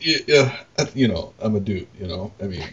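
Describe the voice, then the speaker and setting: A man co-hosting a podcast in casual chat, informal and halting, often trailing off. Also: fairly low pitch, lively intonation, husky, highs cut off. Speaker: podcast co-host, arcade operator.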